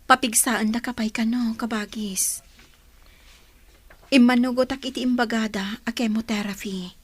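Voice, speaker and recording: Actress as breathy, devoted young sister. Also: female voice, fairly high pitch, fairly even intonation, moderate pace, no room sound. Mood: reassuring. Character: devoted, earnest young woman supporting her sick sister. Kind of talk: melodramatic radio drama dialogue.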